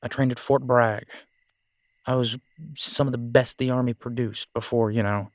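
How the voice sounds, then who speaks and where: A man's voice: medium pitch, steady tone, normal speed, no echo, lightly acted. A man with an American accent playing an easygoing, frank werewolf and former soldier in an audio drama, talking casually. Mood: persuasive. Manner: casual, colloquial, hesitant phrasing. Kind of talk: dramatic audio roleplay acting.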